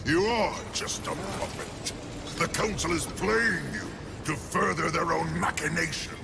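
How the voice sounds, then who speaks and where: A gravelly ancient overlord. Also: male voice, very noisy. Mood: scornful. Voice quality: deep gravelly. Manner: slow, grandiose and mocking. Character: ancient menacing male ruler of a soul realm. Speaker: video game character. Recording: game audio with loud music.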